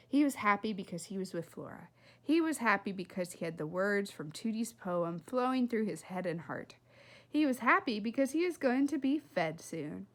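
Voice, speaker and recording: A woman reading a children's book aloud like a teacher, in an animated, expressive way with chatty asides to her listeners. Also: mid-range voice. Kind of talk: animated read-aloud narration.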